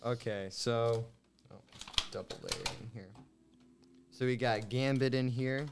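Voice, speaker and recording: A man's voice: medium pitch, unhurried. A man in his late teens, a student guest, chatting casually on a talk show. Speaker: high school student guest. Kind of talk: casual chat.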